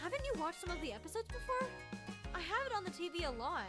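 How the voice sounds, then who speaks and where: A woman's voice: extremely high pitch, big pitch swings, fast, a bit bright, soft background music, heavily acted. A woman playing a bubbly, earnest young girl who gushes over her favorite show, in a visual novel fandub. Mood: enthusiastic.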